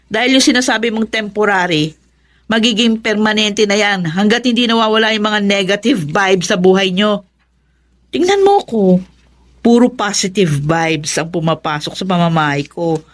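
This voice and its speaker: A Filipino actress playing a preachy, superstitious older sister who scolds insistently in a radio drama. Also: female voice, mid-range voice, normal speed, natural voice. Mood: scolding, insistent.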